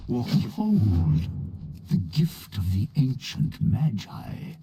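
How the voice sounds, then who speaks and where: An actor voicing a solemn male elf in a fantasy video game, grave and formal, with a husky voice. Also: fairly low pitch, huge pitch swings, extremely slow, warm tone, slight background noise, heavily acted.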